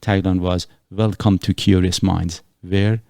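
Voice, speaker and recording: Middle-aged podcast host from Iran with a very deep, gravelly voice. He rambles earnestly through his explanations, full of fillers, in a dry close recording. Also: steady tone, unhurried, warm tone, no echo. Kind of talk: casual podcast chat.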